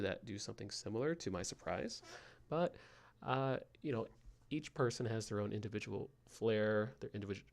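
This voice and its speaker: Soothing low gravelly man rambling slowly. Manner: soft, slow and meandering, with frequent fillers. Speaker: podcast host. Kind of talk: rambling sleep podcast monologue.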